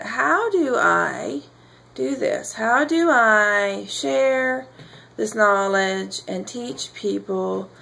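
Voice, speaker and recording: A woman speaking informally as a spiritual teacher announcing classes, pausing often and drawing out words in halting phrases. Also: mid-range voice, vocal fry, fairly bright tone. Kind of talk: informal spoken announcement to camera or followers.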